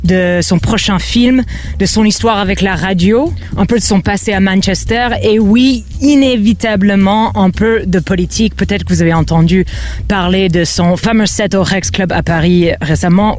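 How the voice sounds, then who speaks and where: A woman's voice: mid-range voice, normal speed, darkish tone, slight background noise. An upbeat woman from Canada who grew up speaking English, hosting her own podcast in French and mixing in English words. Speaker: podcast host. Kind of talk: scripted podcast intro.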